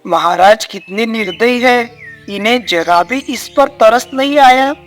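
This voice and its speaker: A dutiful, kind-hearted minister to a king, played by a man. He speaks slowly and respectfully in a storybook drama. Light music and chirping birds sit behind the voice. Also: extremely high pitch. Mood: concerned. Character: dutiful, compassionate male royal minister. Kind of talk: dramatised Hindi moral story.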